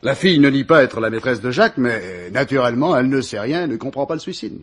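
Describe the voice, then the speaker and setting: A man's voice: mid-range voice, lively intonation, fast talker, close to an everyday voice. An actor playing a dutiful, dogged police detective reporting informally to his boss in a French radio crime drama. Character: dutiful male police detective, deferential yet dogged assistant.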